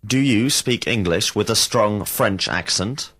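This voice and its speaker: Male language teacher, low voice, very slow and deliberate drill prompts. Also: fairly bright tone. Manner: slow, carefully enunciated prompts. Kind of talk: scripted drill questions for English learners.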